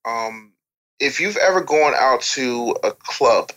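A man's voice: medium pitch, lively intonation, slow, a bit bright, lo-fi sound. A man speaking as a podcast guest, telling candid anecdotes in casual conversation and acting out the dialogue. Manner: candid storyteller who acts out dialogue.

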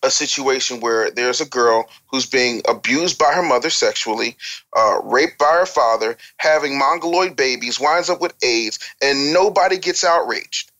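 A man's voice: medium pitch, normal speed, bright, forceful tone, low-quality recording. An American man talking as an opinionated guest on a casual talk show, emphatic and repeating phrases for effect like a storyteller. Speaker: interview guest.

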